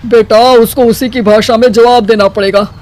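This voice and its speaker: A man's voice: very high voice, fairly even intonation, moderate pace, a little mellow, low-quality recording. A man voicing an exasperated, henpecked elderly father-in-law, grumbling helplessly in a cartoon comedy story.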